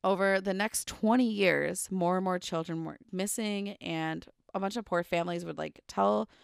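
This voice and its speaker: A woman's voice: lowish voice, fairly even intonation, normal speed, gravelly, clear, resonant tone, no room sound, studio quality. A young woman co-hosting a podcast, casually telling a true crime story with lots of "like" and "um" fillers. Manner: chatty, full of "like" and "um" fillers. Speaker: podcast co-host.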